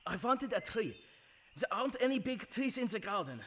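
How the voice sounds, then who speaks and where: A man's voice: sits high, sing-song, normal speed, a bit bright, a little hiss or hum. An actor playing an agitated, obsessive inventor in a radio drama, urgent and insistent, stammering as he pleads and orders. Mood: urgent, intent. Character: agitated, obsessive male amateur sound inventor, desperate and insistent.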